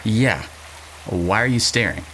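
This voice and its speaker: A man's voice: fairly low pitch, unhurried, a bit bright. A man in an audio drama playing a flirtatious, wisecracking drifter with a casual, irreverent way of talking. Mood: cheeky, casual. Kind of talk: naturalistic audio drama acting. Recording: rain-like background noise.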